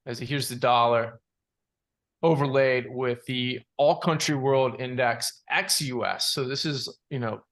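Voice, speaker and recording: A man giving unscripted analysis as a market analyst, in a halting way, repeating words and padding with "you know". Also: mid-range voice, steady tone, a bit bright. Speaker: market analyst presenting charts. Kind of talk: unscripted financial chart commentary.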